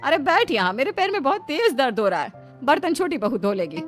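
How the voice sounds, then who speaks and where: A woman's voice: high-pitched, very animated, a little fast, faint music behind, pitched above the performer's own voice. A woman voicing a middle-aged mother-in-law in a cartoon story, commanding and fussy. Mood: bossy. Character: bossy, complaining older mother-in-law.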